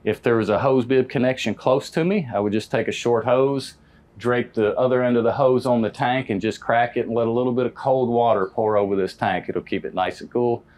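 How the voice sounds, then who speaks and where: A middle-pitched American man teaches as an experienced trade technician. He talks in a folksy, plain-spoken manner, walking through a hands-on demonstration step by step. The delivery is casual and practical. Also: fairly quick, darkish tone. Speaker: HVAC technician instructor. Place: indoor parts warehouse. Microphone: lapel mic.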